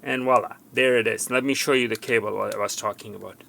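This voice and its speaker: A man's voice: on the low side, fairly even intonation, quick pace, creaky, noisy background. A man giving an unscripted hands-on tutorial as a repair technician, instructive and cautioning, often repeating himself for emphasis.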